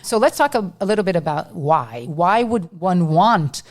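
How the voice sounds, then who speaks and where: Upbeat lower-voiced woman co-host. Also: lively intonation, moderate pace. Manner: upbeat, explanatory, laughs easily. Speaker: podcast co-host. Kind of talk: casual podcast chat.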